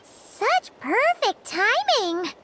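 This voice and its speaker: A woman voicing a small, childlike, excitable fairy companion in a video game, squeaky and full of questions. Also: very slow, a little hiss or hum, heavily acted. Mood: astonished. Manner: exclaiming, questioning. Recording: music loud behind the voice. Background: game music.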